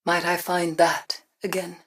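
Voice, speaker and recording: An actress playing a solemn, haunted witch in a game, grave, deliberate and cryptic as she counsels. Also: fairly low pitch, completely flat delivery, distant mic. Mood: reflective. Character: solemn, haunted witch seeking peace and guiding others. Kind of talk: dramatic game voice acting.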